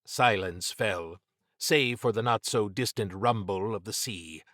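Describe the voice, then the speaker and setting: A man narrating horror fiction as an audiobook, with measured, dramatic storytelling. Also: on the low side, a bit bright, dry recording, clean studio sound.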